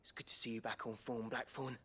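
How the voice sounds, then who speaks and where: A man's voice: mid-range voice, level tone, brisk, fairly bright tone, husky. An actor with an English accent playing a good-natured, wry working man in a video game. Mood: fond, resigned. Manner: colloquial, wry. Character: chirpy, good-natured, folksy male working-class villager. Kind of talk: dramatic video game dialogue.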